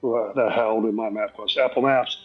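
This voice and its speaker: A man's voice: fairly low pitch, steady tone, normal speed, breathy edge. A dull, lo-fi recording of a man with faint music behind him. He is a podcast guest telling casual anecdotes, folksy and rambling, with a self-deprecating streak.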